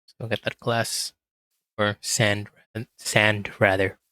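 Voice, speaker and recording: A man with a hoarse voice, quietly talking himself through a game as he plays, in a relaxed, slow, muttering way. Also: fairly low pitch, dry recording. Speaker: gaming video host. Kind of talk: casual gameplay commentary.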